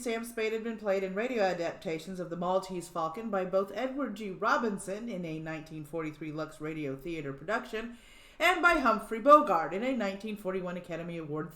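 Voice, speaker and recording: A woman's voice: medium pitch, sing-song, moderate pace, fairly bright tone. Chatty woman streamer in a roomy echo. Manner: chatty, giggly, thinks aloud. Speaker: livestream host. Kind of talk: casual chat.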